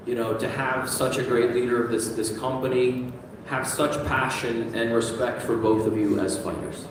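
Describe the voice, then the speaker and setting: A man's voice: mid-range voice, steady tone, moderate pace, background noise. A man hosting a boxing press conference, asking the fighters questions. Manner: hyped announcer build-up at the close. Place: large event room. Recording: echoey hall picked up from far off.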